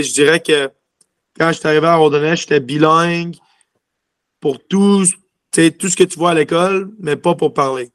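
A man's voice: fairly high pitch, fairly bright tone, no echo. A young man from Quebec with a Quebec French accent, chatting casually as an interview guest and rambling with lots of filler words. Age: young adult. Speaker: interview guest, junior hockey player.

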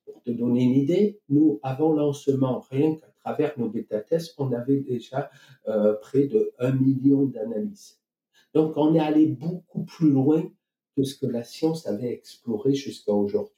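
A man from France gives conversational, explanatory answers as an interview guest, searching for his words. His voice is very dark and relaxed at an average pitch. It sounds echoey and a bit away from the microphone. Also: normal speed, muffled recording. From France, Marseille. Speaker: interview guest, company co-founder. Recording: echoey room, distant from mic.

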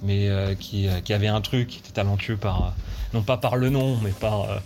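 A man's voice: on the low side, fairly even intonation, a little fast, darkish tone. A man of thirty-eight chatting casually as an interview guest, enthusiastic and emphatic, often repeating himself. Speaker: football commentator, interview guest. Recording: music playing behind the voice.

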